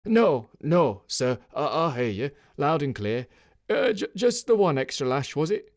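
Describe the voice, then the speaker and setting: An old, slovenly army sergeant in an audiobook, acted out by a male reader. He is wheezy and rather high-pitched, stammering nervously and deferring to his superior. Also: sing-song, unhurried, no echo, studio quality. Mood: flustered, servile. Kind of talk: dramatic audiobook character voice.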